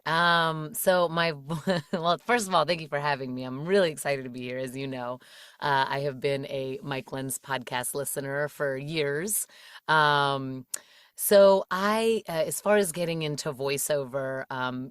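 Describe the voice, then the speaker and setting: A woman in her thirties from the Washington DC area chats as a podcast guest with a low voice. She talks with plenty of fillers and easy laughter. The close mic gives a dry sound with no room echo. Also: unhurried, clean tone. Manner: chatty, laughs often, many fillers. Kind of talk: casual interview chat.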